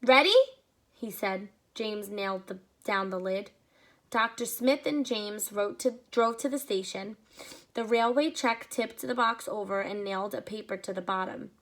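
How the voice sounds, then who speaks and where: A woman teacher reading a picture book aloud to children, with expressive pacing and short explanatory asides. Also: medium pitch, a little slow.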